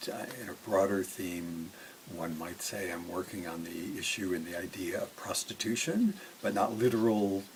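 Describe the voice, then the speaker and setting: Deep-voiced, reflective American man. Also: low-quality recording. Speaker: filmmaker and novelist. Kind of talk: measured interview talk. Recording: faint background noise.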